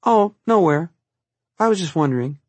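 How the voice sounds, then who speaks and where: A man voicing a shy, polite young boy who speaks gently. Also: on the high side, animated, normal speed, dry recording, pitched above the performer's own voice. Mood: timid. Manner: timid, polite.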